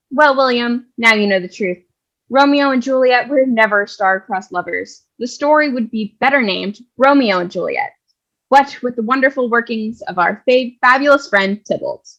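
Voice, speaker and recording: Woman playing eccentric chatty cleaning lady, stage comedy, high voice, emphatic, self-assured, dull lo-fi audio. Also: moderate pace, a bit bright. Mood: gushing, triumphant. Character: chatty, opinionated cleaning lady Sister Lawrence, eccentric storyteller.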